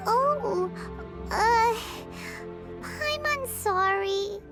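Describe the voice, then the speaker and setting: Tiny childlike fairy sidekick, squeaky and excitable, unhurried delivery, game cutscene with music behind. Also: female voice, exaggerated voice. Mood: sheepish, nervous. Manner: refers to self in third person, exclamatory. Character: tiny excitable childlike fairy companion girl, chatty, eager. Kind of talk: cartoonish game voice acting.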